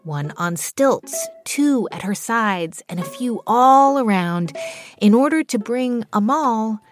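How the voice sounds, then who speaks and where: Woman host narrating over clear music. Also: a little high, expressive, slow. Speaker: radio show host. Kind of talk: scripted narration with some interviewing.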